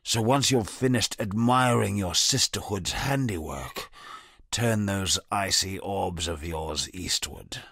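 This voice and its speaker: A bitter, disfigured convict speaks in a very deep, gravelly, husky voice, slow and brooding. His wary, sardonic lines fall dry and close, recorded in a studio for a game. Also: fairly bright tone, no room sound, heavily acted. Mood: gruff wary. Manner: low, brooding and haunted, sardonic.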